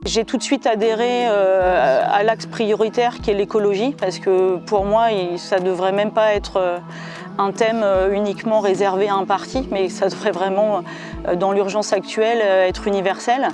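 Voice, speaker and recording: A woman's voice: medium pitch, steady tone, moderate pace. Hesitant woman in her forties, music behind. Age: 40s. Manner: hesitant, many fillers. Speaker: schoolteacher and election candidate. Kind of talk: campaign video statement.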